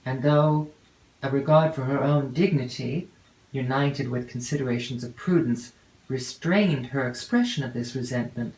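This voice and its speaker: Female audiobook narrator for classic fiction: formal, measured, deliberate phrasing, recorded in a reverberant room. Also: extremely low pitch, a little mellow. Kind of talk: scripted literary narration of a classic novel. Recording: roomy, echoey home recording.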